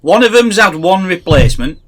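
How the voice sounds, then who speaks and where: A middle-aged Yorkshire man in easy podcast banter. His voice sits a touch high and sounds bright, with plenty of lift and fall as he slips into wry rants. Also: big pitch swings, normal speed. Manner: wry, chatty, ranting asides. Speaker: podcast co-host. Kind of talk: casual banter.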